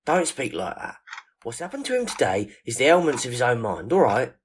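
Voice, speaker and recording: A man's voice: mid-range voice, wildly swooping pitch, a little fast, slight background noise. A man playing a protective, plain-spoken friend in an audio drama, talking in a colloquial, reassuring way. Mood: concerned.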